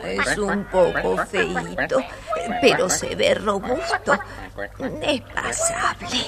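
A woman playing a haughty, important old duck in a children's storybook recording, condescending and drawn-out in manner. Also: mid-range voice, huge pitch swings, gravelly, a loud crowd, heavily acted. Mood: amused, condescending.

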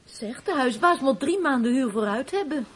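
A woman playing a sharp, scornful young elder sister in a radio play, with a snappy, brisk delivery. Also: sits high, expressive, slightly rough. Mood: brisk, practical. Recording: noisy old radio play recording.

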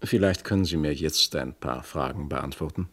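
An actor playing a courteous, businesslike police inspector in a radio crime drama, formal and terse. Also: male voice, extremely low pitch, normal speed, fairly bright tone. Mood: polite, probing.

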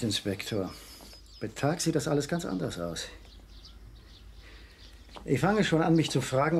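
A man's voice: a little high, darkish tone, a little hiss or hum. An actor playing a testy, sarcastic husband who insists on his story, in a German radio crime drama. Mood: doubting, reflective. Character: irritable, insistent husband shaken by a strange night.

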